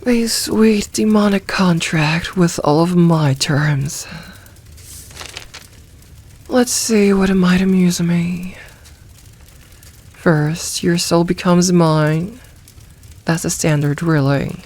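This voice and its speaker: A woman voicing a possessive, teasing, dominant demoness in a soft, intimate ASMR roleplay, commanding and deliberate. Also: fairly low pitch. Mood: musing, possessive.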